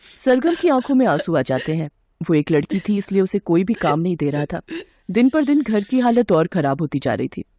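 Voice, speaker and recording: A woman's voice: on the low side, sing-song, normal speed, mellow, dry recording. A woman reading a scripted moral bedtime story as its narrator.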